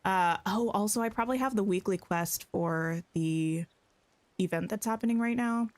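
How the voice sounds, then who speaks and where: Female game streamer, casual and chatty with her chat, slightly scratchy voice, mid-range pitch. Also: normal speed, darkish tone. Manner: chatty, greets and answers viewers, thinks aloud. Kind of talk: casual live-stream chat while playing.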